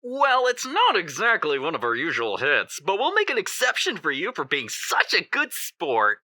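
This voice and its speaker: Actor as a piercingly bright, smug radio co-host. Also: male voice, high voice, huge pitch swings, moderate pace, no echo, studio quality, exaggerated voice. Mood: breezy, mocking. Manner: breezy, taunting. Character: smug, cheerfully cruel radio co-host of the afterlife. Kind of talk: radio DJ acting in audio drama.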